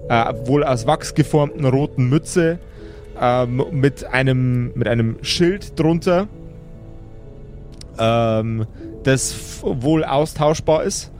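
A male game master improvises his narration over clearly audible music. His mid-range voice halts, searches for words and corrects itself as he describes the scene to players. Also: medium pitch, slow, a little mellow.

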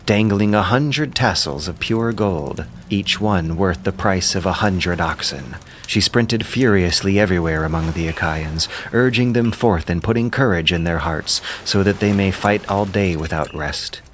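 A man's voice: low voice, normal speed, hardly any pauses, slight background noise. A man narrating an epic audio drama in a solemn, declamatory, oratorical manner. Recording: loud music under the narration. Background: loud background music.

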